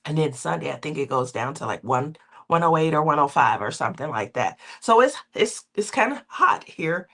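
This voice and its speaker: A woman's voice: sits low, sing-song, a bit bright. Nasal-sounding woman with a cold. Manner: rambling, laughs often, drawn-out filler words. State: recovering from a cold, sore throat. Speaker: craft livestream host. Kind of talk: casual chatty livestream.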